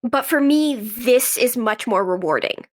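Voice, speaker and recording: Higher-pitched woman in an earnest interview. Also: animated, a little slow. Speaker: podcast guest, actress and writer. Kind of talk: conversational interview.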